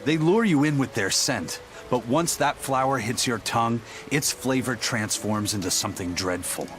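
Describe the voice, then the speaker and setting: A man's voice: mid-range voice, moderate pace, a bit bright, slight background noise, close to an everyday voice. A man voicing a stern, proud pirate in a video game, matter-of-fact but dramatic. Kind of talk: English video game voice acting.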